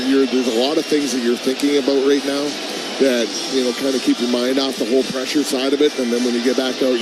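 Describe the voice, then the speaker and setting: A man giving conversational color commentary at a hockey game, repeating phrases and posing rhetorical questions. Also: mid-range voice. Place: live at a hockey arena. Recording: clear arena noise behind voice.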